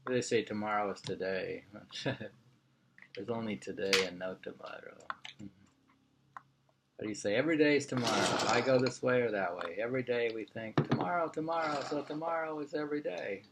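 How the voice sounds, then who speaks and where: A man's voice: mid-range voice, normal speed, vocal fry, very noisy. A man reading an interview aloud in an informal way. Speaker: reader of a printed interview.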